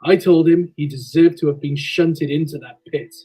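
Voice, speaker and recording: A man playing a stern, formal male railway manager who reprimands calmly. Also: fairly high pitch, moderate pace, slightly rough. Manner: calm, formal, reproving.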